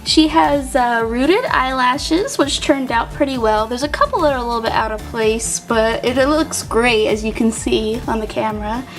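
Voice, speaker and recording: A woman's voice: a little high, moderate pace, few pauses. A woman co-hosting a casual, unscripted review, describing things in a gushing way. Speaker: co-host of a toy review. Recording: music behind the voice.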